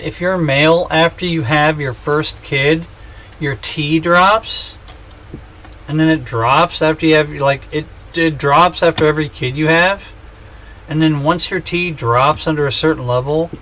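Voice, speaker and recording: A man's voice: on the high side, fairly bright tone, rough recording. A man in his thirties chatting casually on a gaming livestream, joking and rambling with self-mocking humor. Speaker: streamer.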